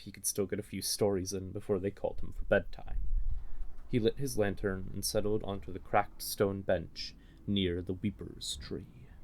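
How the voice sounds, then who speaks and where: A man with a low voice reads a fantasy story as narrator. His delivery is unhurried and measured, with a storyteller's sense of drama. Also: darkish tone, a little hiss or hum.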